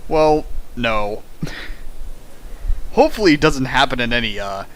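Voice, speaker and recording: A man's voice: medium pitch, big pitch swings, measured pace, a bit bright, low-quality recording. A man reading a fan fic aloud for a stream, breaking off into sarcastic comments and sudden sing-song bits. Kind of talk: comedic dramatic reading with riffing.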